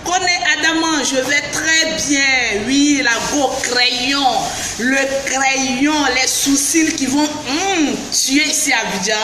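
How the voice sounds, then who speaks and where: Ivorian woman vlogger with the flu, high voice, loud shouts and greetings, echoey room, noisy background. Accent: Ivorian French. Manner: greets viewers by name, animated, bursts into shouts. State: has the flu. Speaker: beauty vlogger live-streaming. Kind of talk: casual live stream chat with viewers.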